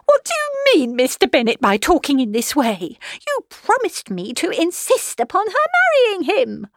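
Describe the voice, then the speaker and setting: Woman acting a shrill, flustered, fussy mother. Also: huge pitch swings, moderate pace, no room sound, clean studio sound, exaggerated voice. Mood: indignant. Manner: exclamatory, insistent, fussy. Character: shrill, flustered, scheming middle-aged mother, easily agitated. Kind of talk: audiobook character voice, classic novel.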